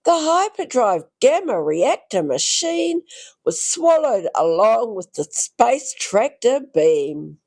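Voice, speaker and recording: A woman reads a rhyming storybook aloud for young children. Her pace is unhurried and her pitch swings widely, with some creak in the voice. She draws out phrases theatrically. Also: big pitch swings, darkish tone. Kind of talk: expressive read-aloud of a rhyming picture book.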